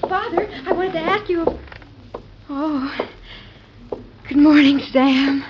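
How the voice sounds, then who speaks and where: An actress with an American accent playing a young, headstrong daughter in love, earnest and emotional, in old-time radio drama. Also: female voice, high voice, slow. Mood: polite. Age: young adult. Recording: muffled, noisy old radio recording.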